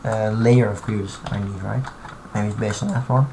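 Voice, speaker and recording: A man streaming live from his computer, talking through his modding in a halting, thinking-aloud way full of restarts. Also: lowish voice, steady tone. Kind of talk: casual live-stream commentary while modding. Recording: clear background noise and typing.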